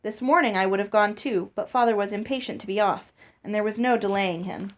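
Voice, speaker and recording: A woman's voice: medium pitch, brisk, natural voice. A woman reading the storybook journal of an earnest, puzzled young prince. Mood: confused, indignant. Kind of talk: storybook journal read aloud.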